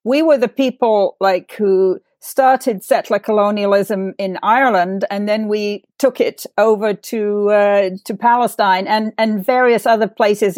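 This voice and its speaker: A woman's voice: mid-range voice, no room sound. Earnest English-raised woman, dry sound. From England. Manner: warm, earnest, uses like and um. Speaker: podcast co-host. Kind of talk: interview chat.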